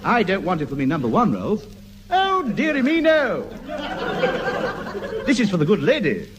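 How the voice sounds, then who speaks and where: A man's voice: high-pitched, huge pitch swings, moderate pace, a crowd in the background. An English man acting a shabby chancer who bluffs with lordly airs in a 1950s radio comedy, mock-grand but slipping into colloquial speech. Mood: evasive, grandiose. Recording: very noisy old archive radio comedy recording.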